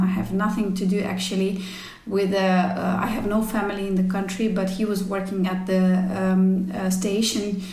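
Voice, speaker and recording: In a roomy, echoing space, a woman. Her pitch is medium, and her comes out in halting but emphatic stretches, like an interview guest recounting a career. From former Soviet Union. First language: not English. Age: 40s. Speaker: podcast guest, cycling team executive. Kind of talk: conversational interview storytelling. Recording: roomy, echoey sound.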